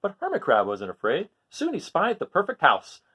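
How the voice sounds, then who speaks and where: A man's voice: a little high, huge pitch swings, normal speed, bright, forceful tone, husky. A man reading a children's book aloud expressively, with informal asides spoken directly to young listeners. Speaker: storybook reader.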